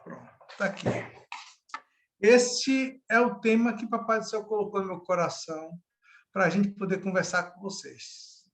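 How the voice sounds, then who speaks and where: A man's voice: sits high, steady tone, measured pace. Middle-aged man, pastor leading a casual Bible study over a call, conversational. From Salvador, Bahia, Brazil. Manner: chatty, often ends with a tag question. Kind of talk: informal Bible study talk. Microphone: video call.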